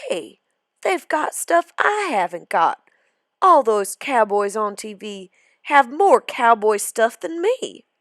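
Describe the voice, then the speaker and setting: A small, greedy cowboy boy from a storybook, acted out by a woman. He is insistent and excitable, with pitch that leaps well above ordinary talk and swings widely. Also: slow, no room sound, studio quality, heavily acted. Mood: envious. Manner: demanding, excitable.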